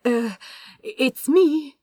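A woman's voice: sits high, animated, very slow, a little mellow, dry recording, studio quality, voice pushed up, heavily acted. A woman voicing a cheerful but nervous young prince in a story, polite and tentative. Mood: awkward, tentative.

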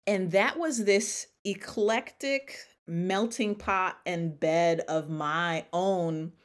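A woman who grew up in Connecticut, a guest on a podcast, telling her story in a relaxed, chatty way with frequent fillers. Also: on the low side, very slow. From Connecticut, United States. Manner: animated storyteller, laughs often, many fillers. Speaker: podcast guest, music therapist. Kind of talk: casual podcast conversation.

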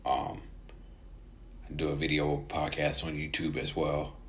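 A man who stutters, speaking casually in a halting personal monologue with frequent gaps and hesitations, as a podcast host. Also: extremely low pitch, completely flat delivery.

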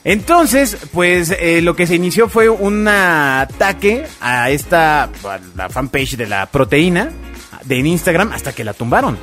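A Mexican man co-hosting a casual talk show, presenting a story in a halting, joking, conversational way. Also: on the high side, lively intonation, fairly bright tone. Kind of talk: casual chat, presenting a news item. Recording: music playing behind the talk. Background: background music.

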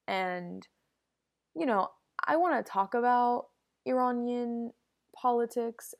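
A woman's voice: a little high, slow pace, vocal fry. A young woman hosting a solo podcast, talking in an earnest, opinionated way that often wanders off on tangents. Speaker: podcast host. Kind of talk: casual solo podcast monologue.

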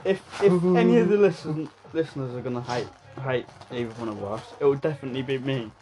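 A man's voice: mid-range voice, fast, mellow, dull audio, soft background music. A young man co-hosting a casual podcast. He swears a lot and mocks himself. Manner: self-mocking, swears a lot. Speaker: podcast co-host. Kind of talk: casual banter among friends.

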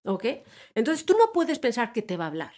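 A woman from Spain chatting as an interview guest, telling animated stories and quoting other people. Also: fairly high pitch, brisk. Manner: animated storyteller, quotes others, laughs often. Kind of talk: casual interview chat.

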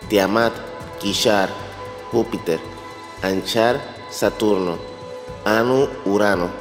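A man narrating a scripted documentary as a storyteller of ancient matters. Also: on the low side. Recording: roomy long reverb over faint music. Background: faint music.

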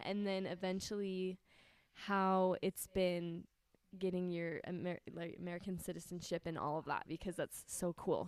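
A woman's voice: mid-range voice, clean tone, no room sound. Bubbly woman host chatting at a friend's house, laughs a lot. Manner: bubbly, laughs often, restarts sentences. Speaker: podcast host. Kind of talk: casual interview chat.

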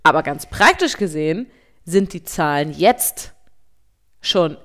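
A woman in her thirties co-hosting a podcast as a parent, talking fast and getting worked up, sometimes turning sarcastic. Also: low-pitched, animated. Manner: fast, agitated, sarcastic when upset. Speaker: podcast co-host. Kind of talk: casual podcast chat.

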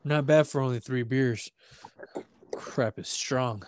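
A man's voice: mid-range voice, slow, smooth, no echo, clean studio sound. A man hosting a podcast in a rambling, laid-back, sweary casual chat. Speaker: podcast host.

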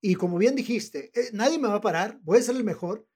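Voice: mid-range voice, lively intonation, fairly quick, a little mellow, breathy edge. Chatty host with a northern Mexican accent. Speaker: interview host. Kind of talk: casual interview chat.

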